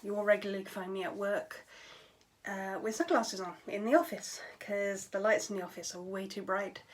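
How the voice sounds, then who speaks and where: Tired young woman at home, candid and matter-of-fact, voice slipping into breathy near-whispers. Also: mid-range voice, level tone, moderate pace. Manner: candid, matter-of-fact, with frequent fillers and trailing phrases. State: tired and low on voice power. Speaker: vlogger. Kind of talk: casual talk to camera.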